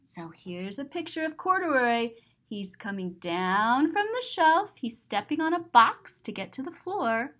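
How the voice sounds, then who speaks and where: A woman reading a children's story aloud and describing the pictures, expressive and explanatory. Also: a little high, measured pace.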